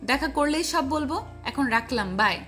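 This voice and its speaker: A woman's voice: high-pitched, expressive, normal speed, sharp tone. A woman playing a feisty, stubborn, scorned young girlfriend in an audio love story, scolding and insistent. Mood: curt, insistent. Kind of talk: acted dialogue in an amateur audio love story. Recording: voice over background music.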